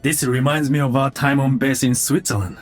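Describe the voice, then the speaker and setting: A man's voice: medium pitch, steady tone, moderate pace, no room sound, lightly acted. A man playing an honourable, reflective cyborg ninja in a video game. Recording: music behind the voice.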